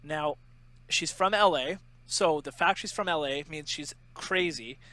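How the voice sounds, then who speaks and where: A man's voice: a little high, unhurried, bright, forceful tone. A man co-hosting a podcast, chatting casually in a joking, sarcastic way. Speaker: podcast co-host. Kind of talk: casual chat.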